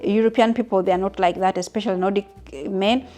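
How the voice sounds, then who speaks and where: A woman's voice: mid-range voice, steady tone, fast, dark tone. Chatty woman in her fifties, from Uganda. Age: 50s. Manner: chatty, frequent restarts and asides to viewers. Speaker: vlogger. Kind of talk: casual Q&A talking to viewers.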